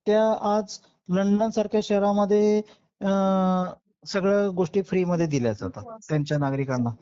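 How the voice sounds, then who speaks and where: Mellow but persistent woman, dull call audio. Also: mid-range voice, moderate pace. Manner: challenging, persistent. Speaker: questioner. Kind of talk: informal debate. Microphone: recorded phone call. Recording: dull, dry lo-fi call recording.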